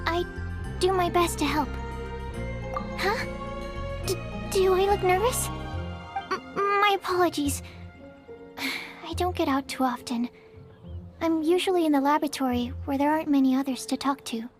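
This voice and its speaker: A high, shy alchemy assistant. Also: female voice, normal speed, pauses often. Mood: timid. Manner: timid, apologetic, polite. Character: shy, nervous young female alchemy assistant. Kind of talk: video game cutscene dialogue. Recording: game cutscene with music behind. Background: game music.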